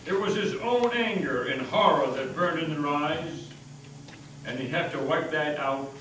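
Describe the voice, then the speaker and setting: A man narrating a dramatic reading of a story in a measured, theatrical way. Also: a little high, a bit bright. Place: meeting house hall. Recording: echoey hall from far off, noisy.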